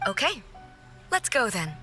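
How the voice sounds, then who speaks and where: A woman playing a stern, literal-minded shogun in a video game, speaking in formal, measured and analytical language with earnest puzzlement. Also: fairly high pitch, big pitch swings, music in the background. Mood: puzzled.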